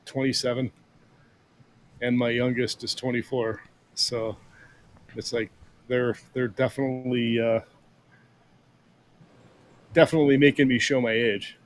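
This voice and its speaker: A man in his fifties co-hosts a relaxed chat show with a mid-pitched voice. His delivery is flat and even, easygoing and matter-of-fact. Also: a little hiss or hum. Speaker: podcast co-host. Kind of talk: casual chat.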